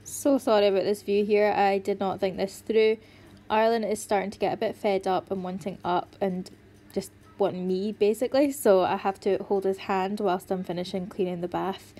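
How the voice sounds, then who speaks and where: A woman's voice: mid-range voice, level tone, normal speed, dark tone, soft background music. A young pregnant woman, tired but chatty, narrating a home cleaning video in voice-over. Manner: chatty, friendly, explaining each step. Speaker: cleaning vlogger.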